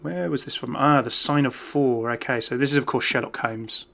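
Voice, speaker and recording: Brief: male gaming YouTuber, bright forward voice, mid pitch, rambling casual commentary, thinks aloud. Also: moderate pace, gravelly. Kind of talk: casual off-the-cuff game commentary.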